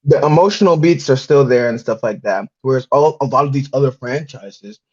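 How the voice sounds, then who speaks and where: A young adult man with a husky voice, chatting as a podcast panelist in a rambling, repetitive, sweary way over dull audio. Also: mid-range voice, brisk, darkish tone. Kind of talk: casual chat about movies.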